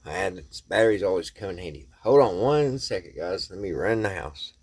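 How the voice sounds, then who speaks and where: A man's voice: deep voice, expressive, a little fast, creaky, slightly rough. A man hosting a casual hands-on vlog, talking to viewers in a folksy way and thinking aloud as he works. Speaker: vlog host.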